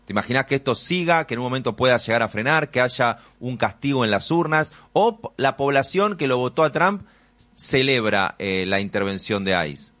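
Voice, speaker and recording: A man's voice: medium pitch, animated, normal speed, a bit bright. A man with a Rioplatense Spanish accent, interviewing a guest and asking long, searching questions with fillers.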